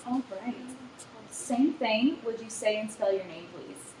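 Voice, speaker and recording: A woman's voice: on the high side, measured pace, darkish tone, a little hiss or hum, poor audio. A woman TV news reporter interviewing a guest, asking questions in a conversational, curious way.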